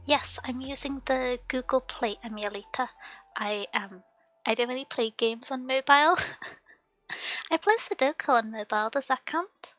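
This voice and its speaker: A softly spoken woman streaming a game live, chatting casually with viewers, her voice slightly hoarse and sore. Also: high voice, a little slow, dry recording. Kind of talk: casual live-stream chat while gaming. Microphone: desk microphone at home. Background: faint background music.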